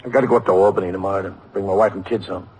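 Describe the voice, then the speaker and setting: A man's voice: low voice, steady tone, racing, darkish tone, husky. An actor playing a bitter, grumbling policeman and family man with an American accent in a vintage radio drama. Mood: wry. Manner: grumbling, sardonic. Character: bitter, weary policeman, a married man with kids. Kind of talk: 1950s radio drama acting. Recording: noisy vintage radio recording.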